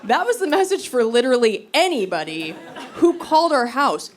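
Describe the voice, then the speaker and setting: Animated woman doing stand-up, crowd laughing. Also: high-pitched, normal speed. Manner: animated, conversational storytelling with acted-out quotes. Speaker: stand-up comedian. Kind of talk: stand-up comedy storytelling to a live audience. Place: live comedy venue on stage. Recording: live crowd laughter, noisy stage recording. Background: audience laughter.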